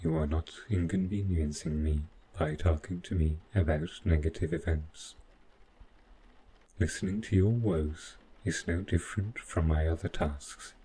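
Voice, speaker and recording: A man playing a devoted, overprotective robot butler in a scripted audio roleplay, speaking in formal, measured, literal phrases. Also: a bass voice, dark tone, slight background noise, poor audio. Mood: formal, caring.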